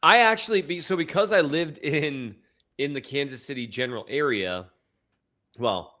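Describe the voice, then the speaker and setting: A man's voice: on the high side, normal speed, bright, forceful tone. Rambling man with a slight Minnesota accent. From upper Midwest and Missouri. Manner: rambling, self-deprecating, chuckles often. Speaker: podcast co-host. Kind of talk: casual sports podcast chat.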